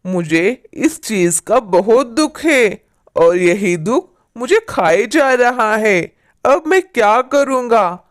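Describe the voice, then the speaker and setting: A woman's voice: fairly high pitch, lively intonation, takes it slowly. A woman voicing a poor, humble male farmer in a storybook tale, pleading and lamenting in sorrow. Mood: sorrowful. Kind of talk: storybook character acting.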